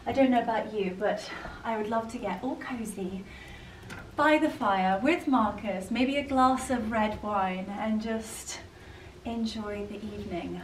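A young woman with a fairly high voice talks as a lifestyle vlogger showing viewers around. She is effusive and enthusiastic in her delivery. The sound is roomy and comes from a camera a few steps away. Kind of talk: house tour vlog presenting. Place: large rooms inside a house. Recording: roomy, distant camera mic, noisy.

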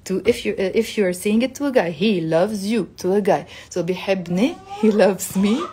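A woman in her thirties teaching a casual home video lesson, saying phrases slowly and repeating them so learners can copy her. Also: medium pitch, warm tone. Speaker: Arabic teacher. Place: living room at home. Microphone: phone camera at arm's length.

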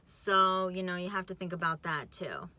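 A woman's voice: lowish voice, moderate pace, sharp tone. A young woman in her twenties, chatting casually and giving upbeat advice from a parked car. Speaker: co-host. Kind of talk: casual chat. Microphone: phone camera on dashboard.

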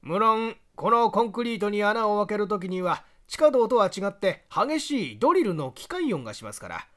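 Mystery audiobook role: male reader as a self-assured investigator, high voice with lively swings, laying out facts emphatically and courteously. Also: moderate pace. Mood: grave explaining. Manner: emphatic, expository, polite address. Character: confident male investigator explaining a spy plot to listeners. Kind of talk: audiobook character dialogue.

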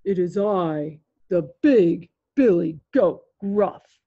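A woman voicing a big, bold, clever male billy goat in a storybook tale, talking loud and tough. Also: fairly low pitch, lively intonation, takes it slowly, breathy edge, dry recording, phone-quality sound, full character voice. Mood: tough, defiant.

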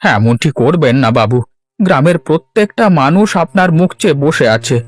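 A man's voice: on the high side, expressive, a little fast, lightly acted. A man playing a humble, earnest village man in a cartoon, speaking deferentially and pleadingly. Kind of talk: Bengali cartoon voice acting.

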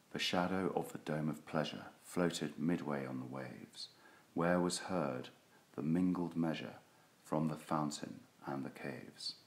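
A man giving a solemn, theatrical recitation of classic poetry, with deliberate phrasing. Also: rumbling low. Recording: roomy, echoing sound.

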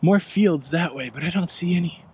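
A middle-aged man talks through a walk in a park, loose and chatty, throwing questions at his viewers and poking fun at himself. His voice sits a little high for a man. The body camera picks up a steady wash of wind and outdoor noise behind him. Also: moderate pace. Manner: chatty, self-deprecating, asks viewers questions. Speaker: walking vlog host. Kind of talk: casual walking vlog.

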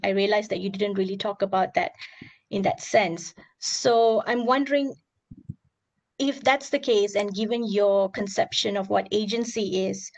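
A woman with a hoarse, gravelly voice asks long, carefully built questions at an online seminar. She pauses often as she thinks through each point. The sound comes over a video call. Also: medium pitch. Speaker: audience member asking questions.

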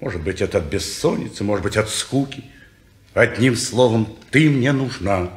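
An actor playing a brooding, possessive lodger who speaks in a low, insistent, quiet way. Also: male voice, normal speed, natural voice. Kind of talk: 1950s radio play acting. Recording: echoey old radio studio.

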